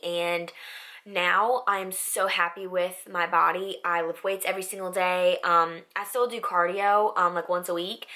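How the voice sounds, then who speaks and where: A young woman with a raspy voice, chatting casually to camera in a vlog, full of "like" and "um". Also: lowish voice, sharp tone. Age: young adult. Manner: chatty, filler-heavy with frequent "like" and "um". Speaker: vlogger. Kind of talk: casual facts-about-me vlog.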